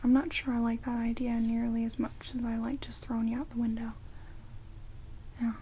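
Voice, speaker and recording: A woman playing a sardonic, playful vampire caretaker in a soft, breathy ASMR roleplay whisper. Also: fairly high pitch, a little fast, lightly acted. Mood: dry teasing. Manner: teasing, dry, casually chatty. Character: sardonic immortal female vampire, rude yet playful caretaker. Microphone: close binaural microphones. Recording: steady rain noise on binaural recording. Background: heavy rain.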